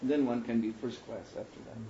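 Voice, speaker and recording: Mellow-voiced man teaching in a roomy hall. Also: mid-range voice, steady tone, highs cut off, slight background noise. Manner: thoughtful, unhurried, with pauses and soft laughs. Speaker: spiritual teacher answering questions. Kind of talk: question and answer talk.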